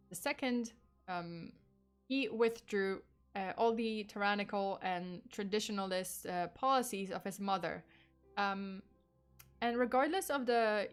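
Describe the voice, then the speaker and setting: A woman's voice: medium pitch, clean tone. Polish-accented woman streaming casually. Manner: chatty, laughs often, lots of fillers and swearing. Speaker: vtuber streamer. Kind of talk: casual true crime livestream.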